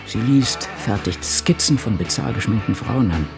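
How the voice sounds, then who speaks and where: A man's voice: on the low side, animated, normal speed, vocal fry, music in the background. An actor playing a solitary, crotchety old woman novelist, reflecting and musing to herself in a radio drama. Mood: brooding. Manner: musing, talking to self. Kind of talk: radio drama monologue, diary reading.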